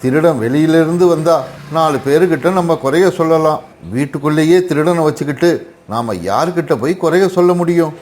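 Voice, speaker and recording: Male actor as tired cartoon crow husband, fairly high voice, resigned, lamenting, reproachful. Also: brisk, a little mellow, close to an everyday voice. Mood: weary, resigned. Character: weary hardworking father crow, long-suffering woodcutter. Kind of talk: Tamil animated moral story acting.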